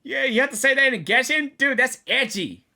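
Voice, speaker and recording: High, bright, animated woman gamer reacting. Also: normal speed. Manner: repetitive, slangy, exclamatory. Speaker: gaming reaction host. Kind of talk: casual excited game commentary.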